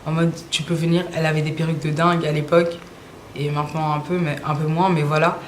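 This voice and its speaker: A woman's voice: sits low, fast talker. Young woman chatting loose and slangy, lots of uhs, roomy echo, bit of hiss. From Belgium, Brussels. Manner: relaxed, slangy, with frequent hesitations. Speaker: singer interviewed about her music. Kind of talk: casual interview answers.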